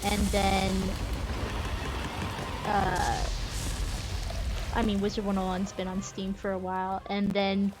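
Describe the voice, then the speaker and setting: A woman's voice: mid-range voice, monotone, takes it slowly, long pauses, poor audio. A woman, chatting informally as she commentates on a game livestream. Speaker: game streamer. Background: video game music.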